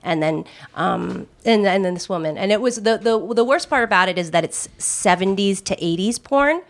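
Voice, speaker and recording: A woman's voice: mid-range voice, lively intonation, moderate pace. A woman in casual chat, telling an embarrassing story with lots of laughter and fillers. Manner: laughs often, many fillers and restarts.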